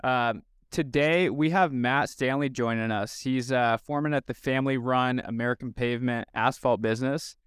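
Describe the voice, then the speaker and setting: A man's voice: medium pitch, normal speed, few pauses, clean tone, no room sound, studio quality. A man hosting a podcast in a casual, upbeat way, with fillers and restarts as he introduces his guest. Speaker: podcast host.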